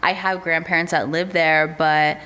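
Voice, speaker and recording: A woman's voice: deep voice, moderate pace, clean tone. A young woman from the United States, chatting casually as a podcast guest, with a lot of "um" and "like". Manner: relaxed, chatty, with many fillers like "um" and "like". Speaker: podcast guest, artist manager. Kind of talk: casual interview chat.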